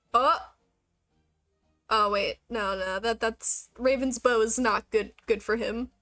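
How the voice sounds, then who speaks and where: A woman's voice: fairly high pitch, fairly even intonation, takes it slowly, pauses often, bright, forceful tone, no room sound, faint music behind. A woman chatting casually on a gaming livestream, rambling along with the odd swear word.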